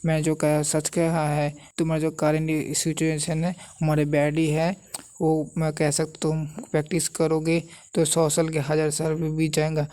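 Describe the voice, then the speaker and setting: A man's voice: a little high, completely flat delivery, moderate pace, a little mellow, natural voice. A man voicing a proud, scolding old martial arts master spirit with a boastful, condescending manner in a story recap. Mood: warning.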